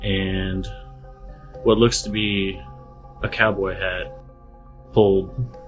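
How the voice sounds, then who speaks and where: A man player describing his character haltingly, repeating words as he goes. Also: deep voice, flat delivery, soft background music.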